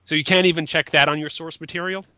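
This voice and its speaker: A man's voice: a little high, steady tone, quick pace, pushed and bright, no room sound. A man giving casual critical commentary as a guest, blunt and nitpicking.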